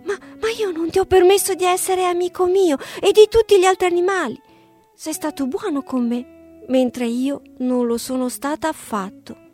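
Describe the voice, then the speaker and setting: A woman voicing a female fox in a children's audio story, high and emotional. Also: unhurried, warm tone, faint music behind. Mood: tearful. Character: proud female fox, humbled and grateful.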